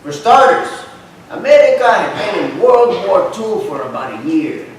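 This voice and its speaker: A man's voice: high-pitched, very animated, normal speed. A man playing a fond old grandfather, telling stories in a staged reading. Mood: reminiscing. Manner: storytelling, sprinkled with Spanish. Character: fond, animated old Mexican immigrant grandfather. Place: arts center stage, live performance. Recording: echoey hall, distant mic, faint noise.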